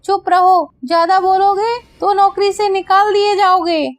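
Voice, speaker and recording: A woman's voice: very high voice, steady tone, measured pace, no room sound, voice pushed up. A woman voicing a greedy, bossy female crow in a Hindi children's cartoon, pushy and exclamatory.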